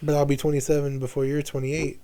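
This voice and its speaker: A man's voice: on the high side, level tone, quick pace, background noise. A man in his twenties talking candidly and casually as a podcast guest, using slang and swearing freely. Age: 20s.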